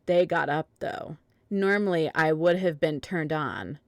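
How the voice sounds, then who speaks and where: A woman's voice: low voice, unhurried, no room sound, clean studio sound. A woman narrating a fan fiction story in a dramatic reading, keeping a straight face through absurd text.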